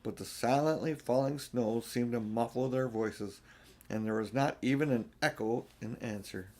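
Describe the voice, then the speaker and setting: An older man with a mid-pitched voice narrating a children's storybook aloud. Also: moderate pace, darkish tone. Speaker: audiobook reader.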